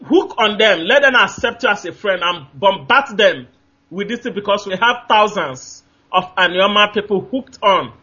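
Nigerian-accented male caller, bright tense tone, insistent and repetitive, muffled phone-line sound. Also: sits high, lively intonation, normal speed, husky. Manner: emphatic, repetitive, gropes for names with fillers. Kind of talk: call-in radio talk.

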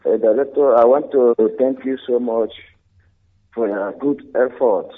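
Male caller, husky and slightly high, earnest and halting, thin phone-line sound. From Enugu State, Nigeria. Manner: earnest, hesitant, filled with ums and repeats. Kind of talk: phone call to a radio show.

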